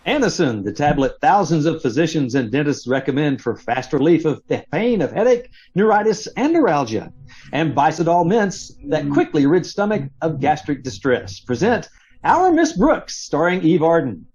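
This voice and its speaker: Male radio announcer, vintage sponsor-read style, hugely varied intonation, slightly high, dull call audio. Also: very animated, normal speed, a little mellow. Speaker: radio troupe performer and announcer.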